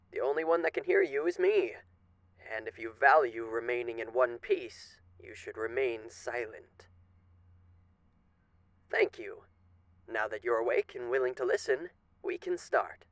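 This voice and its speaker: A man's voice: mid-range voice, a bit bright, dry recording. A man playing a suave, menacing radio demon in an ASMR roleplay, speaking with genteel, teasing, theatrical politeness. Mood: polite menace.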